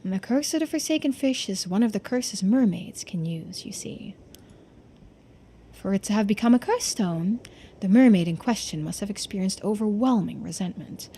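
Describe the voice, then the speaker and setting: A woman's voice: mid-range voice, lively intonation, normal speed, warm tone, slight background noise, natural voice. A woman voicing a long-lived, wistful keeper of mermaid lore in a game, explaining in a musing way.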